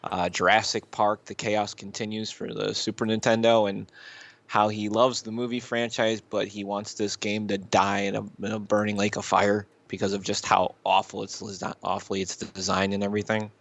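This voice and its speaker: A man with a fairly low voice, recorded close and dry, chats as a podcast co-host. He talks in a rambling, halting way, circling back over his phrases and swearing casually as he gives his take. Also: little pitch movement, vocal fry, fairly bright tone, dry recording. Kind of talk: casual chat, reviewing YouTube videos.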